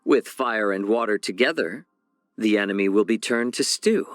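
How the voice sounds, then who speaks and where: An actor voicing a genial, sly fox-man healer and chef in a video game, speaking softly and smoothly in a measured, gently teasing way. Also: fairly low pitch, no room sound, faint music behind, natural voice. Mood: wry, genial. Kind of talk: scripted game character voice lines.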